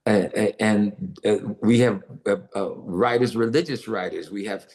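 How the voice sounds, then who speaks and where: An older man from Los Angeles, a guest author and publisher, answering interview questions with many pauses and fillers. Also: on the low side, a little mellow. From Los Angeles, United States. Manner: halting, many "uh" fillers and restarts. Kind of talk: conversational interview answers, then reading aloud.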